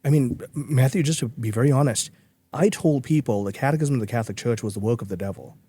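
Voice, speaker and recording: A man's voice: medium pitch, quick pace, warm tone, husky, no echo. A man from Malaysia, speaking earnestly as a guest in a podcast interview, with frequent restarts. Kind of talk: conversational interview.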